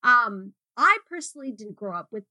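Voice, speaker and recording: A woman's voice: fairly high pitch, sing-song, moderate pace, bright, forceful tone, no echo. Chatty woman podcast host, dry sound. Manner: chatty, filler-heavy, laughs easily. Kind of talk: casual podcast chat.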